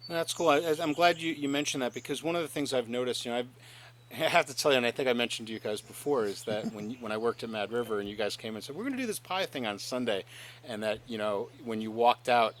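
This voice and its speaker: A man's voice: medium pitch, quick pace, a little hiss or hum. Chatty middle-aged male interviewer. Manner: chatty, animated, frequent mm-hmms, laughs. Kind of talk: casual interview.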